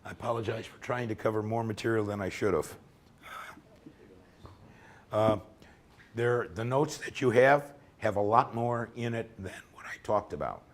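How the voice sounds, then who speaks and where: Hoarse man lecturing, low-voiced. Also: normal speed, long pauses. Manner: conversational, often ends points with "okay?". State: losing his voice. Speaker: workshop lecturer. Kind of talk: informal technical lecture. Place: lecture room.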